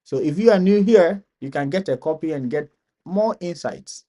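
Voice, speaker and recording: A man from Ghana hosting a live stream Q&A, explaining steps slowly and repeating key points. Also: on the high side, expressive, dark tone. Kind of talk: live Q&A advice.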